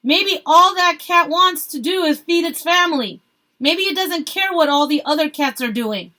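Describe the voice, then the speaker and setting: Woman acting a loud, indignant male farm worker. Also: very high-pitched, normal speed, pitched above the performer's own voice. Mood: skeptical, calling out. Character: defiant male migrant farm worker shouting back from crowd.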